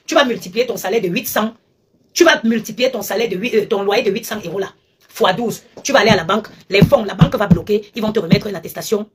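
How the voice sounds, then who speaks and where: A woman's voice: medium pitch, husky. A woman ranting and lecturing on her live stream, talking emphatically and repeating her points, recorded on a phone. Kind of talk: animated live-stream rant.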